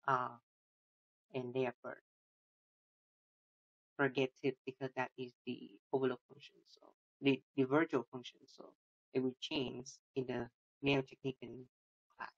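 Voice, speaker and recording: Halting man walking through code. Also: mid-range voice, fairly bright tone. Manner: halting, many restarts and fillers, non-native phrasing. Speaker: student presenting a coding project. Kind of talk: screen-recorded code walkthrough. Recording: dull lo-fi sound.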